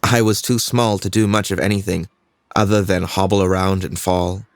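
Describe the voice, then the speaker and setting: A man's voice: sits low, steady tone, moderate pace, no echo. A young man performing a scripted dramatic monologue as a troubled, introspective student telling his own life. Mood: brooding.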